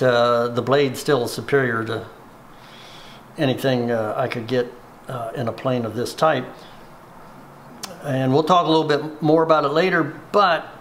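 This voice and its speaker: Middle-aged male craftsman, mid-range voice, relaxed show-and-tell, slight room hiss. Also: medium pitch, vocal fry. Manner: unhurried, plainspoken, many fillers. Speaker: woodworking instructor. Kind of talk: hands-on workshop tutorial.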